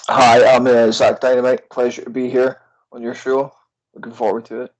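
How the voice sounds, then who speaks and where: A man's voice: medium pitch, flat delivery, moderate pace, poor audio. A Scottish man with an Aberdeen accent, a wrestler guest chatting easily with lots of fillers. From Scotland. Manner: easygoing, filler-heavy, enthusiastic. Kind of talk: casual interview chat.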